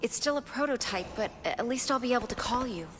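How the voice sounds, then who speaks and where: An actress playing a smart, candid young scientist ally in a video game, speaking in an earnest, explanatory way. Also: female voice, a little high, lively intonation, a little fast, close to an everyday voice. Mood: grave, explaining. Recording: clear music behind the voice. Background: game music.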